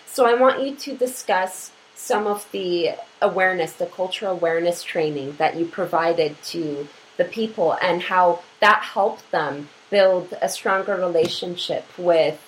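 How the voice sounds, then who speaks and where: Polish-Canadian woman host, lowish voice, earnest and measured, light background noise. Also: poor audio. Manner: earnest, measured, repeats phrases. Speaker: interviewer. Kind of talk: interview.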